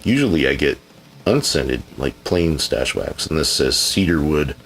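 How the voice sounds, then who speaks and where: A man's voice: extremely low pitch, expressive, measured pace, fairly bright tone. A man answering viewer questions in a casual, rambling chat, laid-back and frequently hedging, now and then blunt and profane.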